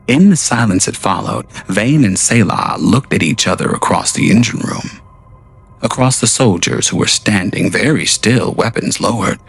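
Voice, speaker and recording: A man narrating a scripted audio drama in a slow, solemn, deliberate way. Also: lowish voice, creaky, slightly rough. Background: faint music.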